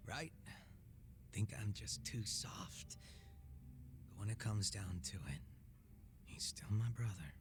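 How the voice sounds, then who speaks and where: An actor playing a laid-back, sly gangster boss with a soft heart in a video game, speaking in a casual, teasing drawl. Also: male voice, on the low side, takes it slowly, long pauses, whispered. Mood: soft, sincere. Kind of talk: video game cutscene acting.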